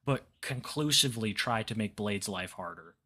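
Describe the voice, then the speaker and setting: A man co-hosting a podcast in casual chat, blunt and swearing freely, with dark humor. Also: lowish voice, animated, normal speed, a crowd in the background. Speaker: podcast co-host.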